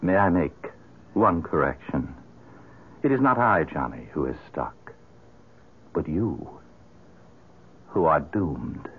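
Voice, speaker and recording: An actor playing a sly devil who poses as a courteous businessman, speaking with formal, deliberate mock politeness in an old-time radio drama. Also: extremely low pitch, lively intonation, phone-quality sound, a little hiss or hum, full character voice. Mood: quietly menacing. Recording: thin, band-limited vintage radio recording.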